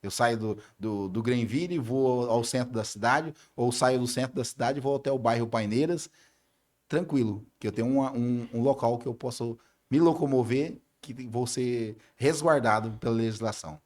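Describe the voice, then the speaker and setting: Male, Brazilian accent, council candidate in a sit-down interview, conversational answers, mid-range pitch. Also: normal speed, darkish tone.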